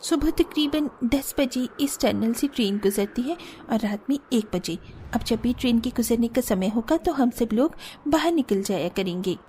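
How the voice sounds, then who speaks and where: A woman voicing a worried young daughter-in-law in a cartoon drama, earnest and plaintive, with a soft voice. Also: a little high, animated, normal speed, a little hiss or hum, natural voice.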